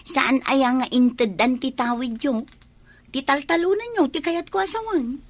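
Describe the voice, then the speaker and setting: Actress as prying Ilocano village gossip for radio serial, chatty, fairly high voice. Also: female voice, measured pace. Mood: gossipy. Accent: Filipino, Ilocano. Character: nosy, gossiping village woman, chatty neighbour friend. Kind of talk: radio drama acting.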